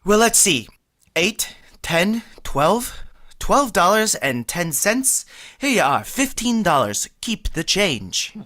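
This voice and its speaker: A man plays a boastful, easily flustered working-class man on a night out. He blusters through his lines and trips over his words when nervous. His pitch swings widely in a lively radio comedy delivery. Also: takes it slowly, full character voice. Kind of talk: vintage radio comedy acting.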